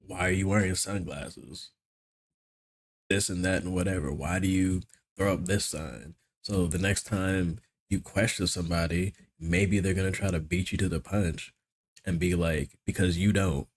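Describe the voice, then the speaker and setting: An American man hosting a casual reaction commentary, talking in slang with a skeptical, critical manner. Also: low voice. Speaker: reaction video host.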